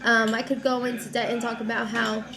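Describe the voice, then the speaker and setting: Chatty young mom with a cold. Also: female voice, fairly high pitch, flat delivery, fairly quick, creaky, crowd noise. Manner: chatty, lots of "um" and "like". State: has a cold, slightly raspy. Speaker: mom vlogger. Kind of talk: casual chat to camera.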